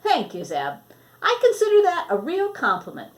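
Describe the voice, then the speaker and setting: A woman voicing a kindly, caring grandmother in a storybook, gentle and hospitable. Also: high-pitched, wildly swooping pitch, moderate pace, voice pushed up. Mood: pleased. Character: kindly, caring grandmother hosting a stranded boy.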